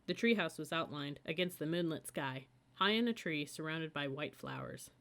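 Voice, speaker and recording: A woman's voice: low-pitched, big pitch swings, moderate pace, fairly bright tone. A woman reading a children's audiobook as scripted story narration. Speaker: audiobook reader.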